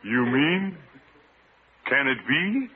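An actor playing a pompous, blustery, flirtatious middle-aged bachelor uncle in a vintage radio comedy. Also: male voice, a little high, very animated, very slow, husky, heavily acted. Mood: excited. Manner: pompous, blustering, chuckling self-importance. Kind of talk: 1940s-50s radio comedy acting. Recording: tinny, noisy vintage radio recording.

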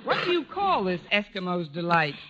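An actress playing a wry, wisecracking English teacher with dry sarcastic quips in an old radio sitcom. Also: female voice, fairly low pitch, big pitch swings, moderate pace, dull audio. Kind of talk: 1950s radio sitcom acting. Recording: noisy old radio broadcast recording.

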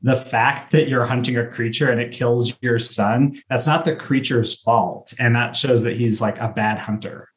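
A man's voice: medium pitch, fairly even intonation, normal speed, few pauses. A man hosting a podcast, chatting casually about a TV show in an informal, jokey way. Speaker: podcast host.

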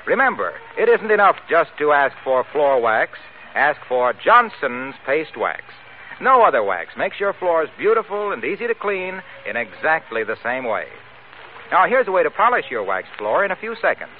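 An American man announcing in polished, emphatic 1940s radio advertising style. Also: a little high. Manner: polished, emphatic sales delivery. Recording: tinny, hissy old radio broadcast.

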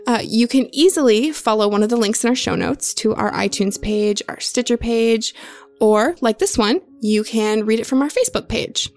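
A woman's voice: fairly high pitch, normal speed, darkish tone, faint music behind. A woman co-hosting a podcast with casual, playful, teasing banter and announcements. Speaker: podcast co-host.

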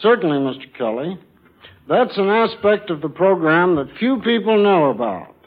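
A man's voice: sits high, very animated, moderate pace, phone-quality sound. An American man speaking formally and courteously as a guest quizmaster, in prepared talk on a 1940s radio broadcast. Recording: thin band-limited 1940s radio broadcast.

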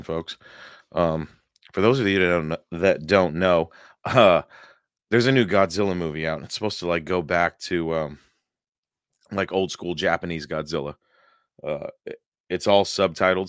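A hoarse man hosting a paranormal podcast livestream, chatting casually and rambling with many fillers and chuckles. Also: low voice, no echo, studio quality. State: hoarse, losing his voice.

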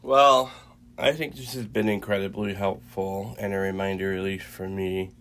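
A young adult man co-hosting a faith podcast, congested and a little raspy, talking haltingly with many fillers. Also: low-pitched, level tone, a bit bright. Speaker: podcast co-host.

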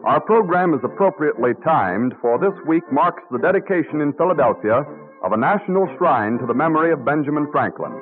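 A tinny, band-limited old radio broadcast carries an American man's voice, pitched a little high. He announces in a formal, stately 1930s style, reading scripted copy with measured care, with music around the program. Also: expressive.

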